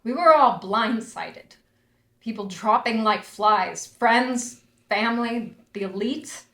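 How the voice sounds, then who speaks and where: A woman's voice: a little high, takes it slowly, sharp tone, roomy sound, exaggerated voice. A woman reading a screenplay part at a table read, playing a wry actress recalling the disaster.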